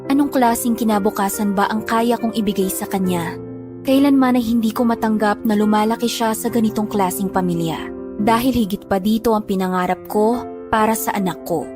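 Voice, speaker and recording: Actress as long-suffering mother, medium-pitched, earnest, sorrowful dramatic narration, clear music bed. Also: lightly acted. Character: long-suffering mother narrating her own hard life.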